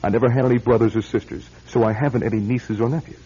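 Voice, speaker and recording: An actor playing a wry, impatient young bachelor in a classic radio drama. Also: male voice, mid-range voice, steady tone, quick pace, warm tone, husky, natural voice. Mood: matter-of-fact. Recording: dull, lo-fi old radio recording.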